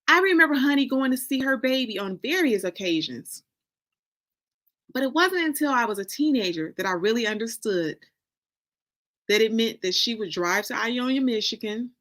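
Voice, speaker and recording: An American woman from Detroit giving a dramatic first-person story reading, with deliberate, expressive phrasing and pauses. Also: a little high. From Detroit, United States. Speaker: author reading from a novel.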